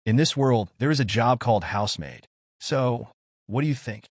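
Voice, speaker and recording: A cheerful, caring young maid from a manga, voiced by a man, earnest and gently firm. The pitch is lifted and varied, and the recording is dry. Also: animated, moderate pace, no echo.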